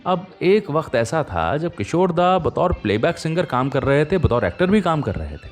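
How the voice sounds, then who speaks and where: A man hosting a radio show, telling stories in a chatty, conversational way with pauses and rhetorical tags. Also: fairly high pitch, sing-song, soft background music. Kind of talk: conversational radio storytelling about old film music.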